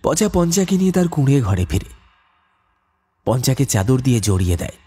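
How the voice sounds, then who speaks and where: A man's voice: mid-range voice, big pitch swings, brisk, dark tone. A man telling a scripted horror story as a dramatic narrator. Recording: clear background noise, ambience.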